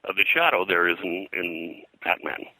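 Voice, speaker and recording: An older man speaking as an interview guest, reminiscing in a halting, digressive way and often clearing his throat. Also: sits low, lively intonation, bright, forceful tone, no room sound, rough recording. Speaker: guest, pulp and comics historian. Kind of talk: interview conversation, reminiscing about his work.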